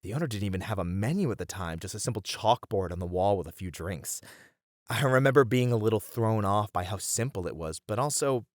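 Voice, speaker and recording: Man playing a low-voiced, easygoing young bunny boy. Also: animated, a little fast, dry recording, studio quality, lightly acted. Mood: fond, nostalgic. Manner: chatty, easygoing, welcoming, with casual slangy hesitations. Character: friendly young bunny boy, nostalgic manga cafe regular. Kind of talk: ASMR roleplay, casual conversational acting.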